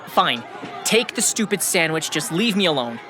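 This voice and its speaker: A performer voicing a sullen, sarcastic, put-upon schoolboy who grumbles defensively in a family audio drama. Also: female voice, low-pitched, very animated, moderate pace, a crowd in the background, background noise. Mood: upset, defiant. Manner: sulky, grumbling, sighing.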